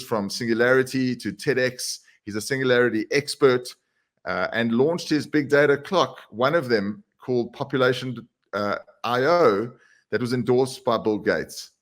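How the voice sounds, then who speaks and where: Man hosting an interview podcast. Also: medium pitch. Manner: conversational, frequent "uh" and "you know" fillers. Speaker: podcast host.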